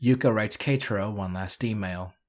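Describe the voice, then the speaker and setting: A man's voice: on the low side, moderate pace, slight background noise. A man narrating a scripted video review and recap, with dry, sarcastic asides.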